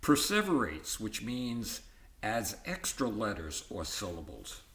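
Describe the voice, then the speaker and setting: Slow-paced man reading aloud, roomy sound. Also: fairly low pitch, big pitch swings, a bit bright. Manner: halting list-like delivery with ad-libbed comments. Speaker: reader of an educational book. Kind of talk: reading a textbook aloud with informal asides.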